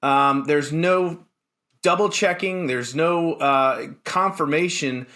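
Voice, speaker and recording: American male talk host, slightly high voice, halting but emphatic conversational commentary. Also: fairly bright tone. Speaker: political talk show host.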